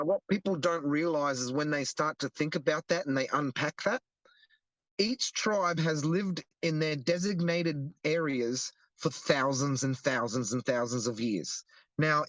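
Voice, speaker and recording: A man's voice: fairly high pitch, fairly bright tone, no room sound, rough recording. A young Australian man explaining earnestly in a casual interview, often restarting his sentences. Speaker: guest, activist.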